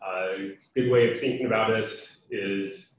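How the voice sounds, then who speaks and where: An American man giving a webinar presentation, speaking haltingly with many fillers. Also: lowish voice, fairly even intonation. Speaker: program representative. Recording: echoey, dull, distant online call audio.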